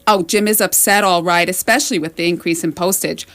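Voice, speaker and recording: A woman's voice: lowish voice, steady tone, brisk, a bit bright. A woman playing a chatty, fretful middle-aged wife, rambling plaintively in a comedic radio sketch. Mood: exasperated, rambling.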